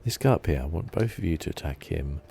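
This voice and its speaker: Male gaming ASMR host: hushed, relaxed commentary, dark warm tone with heavy vocal fry, close-miked. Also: fast, slight background noise. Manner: soft-spoken, low-key.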